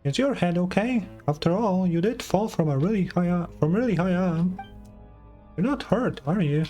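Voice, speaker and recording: Small fretful game fairy, played by a man: high, chirpy voice, swooping and excitable. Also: moderate pace, faint music behind. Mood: worried, fussing. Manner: chirpy, exclamatory, questioning. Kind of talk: video game dialogue read aloud.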